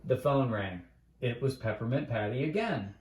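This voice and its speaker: A man's voice: medium pitch, expressive, normal speed, a little mellow. A man reading a bedtime story aloud as a storyteller, in a roomy, echoing recording.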